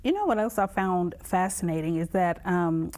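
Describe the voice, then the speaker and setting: A woman's voice: lowish voice, lively intonation, moderate pace, darkish tone. A woman hosting a TV interview, asking long conversational questions that set up her point before landing it. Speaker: TV host.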